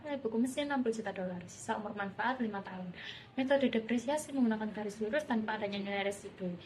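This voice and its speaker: A young woman reads out an exercise for a student presentation. Her delivery is quick and steady, at a middling pitch. There is faint noise behind her. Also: low-quality recording. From Indonesia. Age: young adult. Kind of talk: reading a problem aloud for a presentation.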